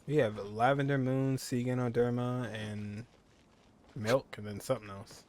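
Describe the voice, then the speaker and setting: A man's voice: mid-range voice, fairly even intonation, a little slow, darkish tone. A man giving casual playthrough commentary on a game in a wry, offhand way. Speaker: game streamer. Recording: faint background noise.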